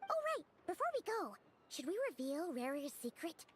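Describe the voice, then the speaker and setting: A very high, squeaky little game fairy, swinging excitedly up and down in pitch. She is childlike and naive, full of eager exclamations and wondering questions. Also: normal speed, a little hiss or hum. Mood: urgent. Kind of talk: scripted game dialogue.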